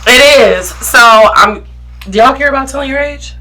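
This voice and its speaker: A woman's voice: high voice, moderate pace, bright, forceful tone, low-quality recording. A woman in her thirties hosting a podcast, speaking, playful and laughing often. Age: 30s. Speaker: podcast host. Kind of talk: casual podcast chat.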